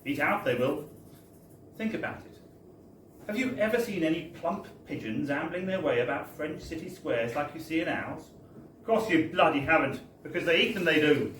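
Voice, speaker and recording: A British man with a slightly high voice reads a wry comic tale to a live room. His delivery is chatty and theatrical, like an anecdote told in the first person. The recording is echoey and distant, with faint noise. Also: lively intonation, moderate pace, a bit bright. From Britain. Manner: chatty, wry, theatrical storytelling with tag-on phrases. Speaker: reader at a book launch. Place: bar, live event.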